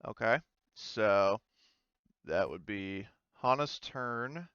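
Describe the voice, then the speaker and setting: A man's voice: on the low side, expressive, very slow, clean tone, dry recording, highs cut off. A man acting as game master of a tabletop roleplaying game, casually thinking aloud as he talks through rules and turns.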